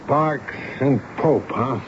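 A man's voice: mid-range voice, slow, lightly acted. An actor playing a stern but fatherly army major in a 1970s radio drama, firing questions with a commanding manner. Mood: stern, irritated. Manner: commanding, interrogating. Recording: dull, noisy old radio broadcast recording.

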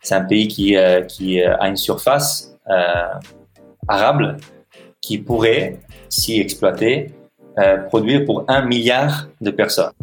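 An Italian man in his thirties, a non-native French speaker, answering interview questions in a halting way with many fillers and restarts. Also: on the low side, soft background music. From Italy. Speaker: podcast guest, social entrepreneur. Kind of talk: hesitant conversational interview answers.

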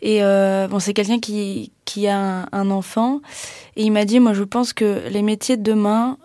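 Flat-voiced woman radio host. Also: medium pitch, measured pace, no room sound, clean studio sound. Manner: soft-spoken, hesitant, many fillers. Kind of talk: casual radio interview and sign-off. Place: radio studio.

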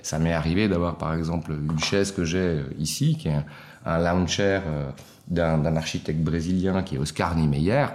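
A man, a guest answering interview questions in a reflective way, often pausing to search for words. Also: low voice, fairly even intonation, darkish tone. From Switzerland. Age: 40s. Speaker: guest, watch collector. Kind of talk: casual interview conversation.

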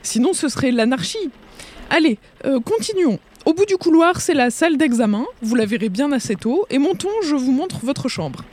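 A woman playing an excitable, gossipy rustic clinic employee in a comic audio drama, exclamatory and fussy. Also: high-pitched, moderate pace. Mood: bustling, welcoming. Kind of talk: French comic audio fiction acting.

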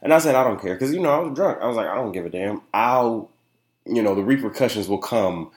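Young male podcast host, stuffy and nasal, lowish voice, loose rambling chat in an echoey room. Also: lively intonation, fast talker. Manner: rambling and chatty, keeps asking "you know what I'm saying". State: nasal and congested. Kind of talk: casual solo podcast chat. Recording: roomy, echoey room sound.

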